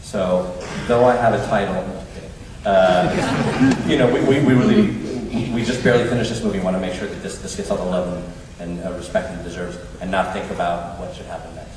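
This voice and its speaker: Man, panel guest, low pitch, off-the-cuff answer, distant, long reverb. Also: fairly even intonation, a little fast. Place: large cinema auditorium on a PA. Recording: very echoey hall, distant, clearly noisy.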